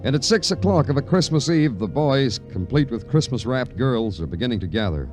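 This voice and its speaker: An actor playing a weary, laconic police detective in an old radio noir crime drama. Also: male voice, medium pitch, expressive, a little fast, darkish tone, natural voice. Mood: moody, noir. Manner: laconic, understated. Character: weary, terse, hard-boiled police detective. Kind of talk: 1940s-50s radio noir acting and narration. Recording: vintage radio recording with music behind.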